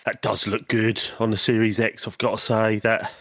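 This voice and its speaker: A man's voice: on the low side, level tone, normal speed, a bit bright. Stuffy-nosed man with a cold. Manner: hesitant, many ums and restarts. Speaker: podcast guest. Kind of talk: casual chat.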